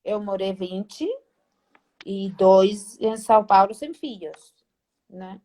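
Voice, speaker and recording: A middle-aged woman from Spain speaks with a Spanish accent and a mid-pitched voice. She tells her stories in an animated, chatty way, acting out old conversations. She is heard over a video call. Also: slow, poor audio. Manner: animated, acts out past dialogues, frequent "né?" tags. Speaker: guest. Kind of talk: casual storytelling interview.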